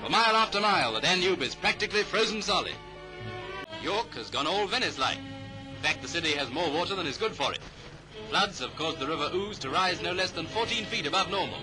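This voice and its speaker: A man's voice: high-pitched, animated, a little fast, low-quality recording. A British man delivering scripted 1930s newsreel commentary in a clipped manner, on a vintage soundtrack. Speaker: newsreel narrator. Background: music.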